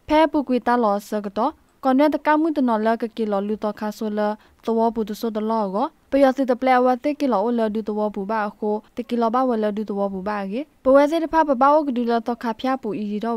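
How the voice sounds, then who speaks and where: Higher-pitched woman reading radio news. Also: darkish tone, dry recording.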